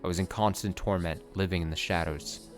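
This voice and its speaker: A man's voice: deep voice, steady tone, a little fast, soft background music, close to an everyday voice. A man voicing a tormented young knight, speaking in a solemn, tender way that sometimes turns shaky. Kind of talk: dramatic reading of a story.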